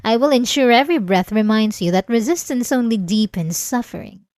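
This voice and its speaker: A woman playing an obsessive, possessive, centuries-old vampire in an ASMR roleplay, speaking intimately and deliberately with dramatic pauses. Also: fairly high pitch, lively intonation, darkish tone, no room sound, clean studio sound, close to an everyday voice. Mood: menacing, controlled.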